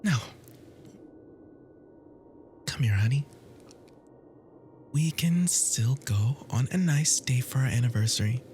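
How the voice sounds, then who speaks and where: A performer playing an obsessive, jealous, violently devoted lover in an ASMR roleplay, theatrical and swinging between breathy whispers and manic laughter. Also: medium pitch, expressive, slow pace, pauses often, a little mellow, a little hiss or hum. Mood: soft, obsessive. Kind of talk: ASMR roleplay audio drama.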